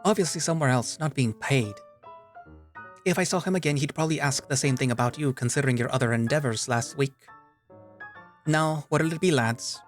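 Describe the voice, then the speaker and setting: A man voicing a brisk, unflappable female squirrel barkeep with a thick Scottish accent, plainspoken and calling people love and lads. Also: mid-range voice, soft background music, pitched above the performer's own voice, close to an everyday voice.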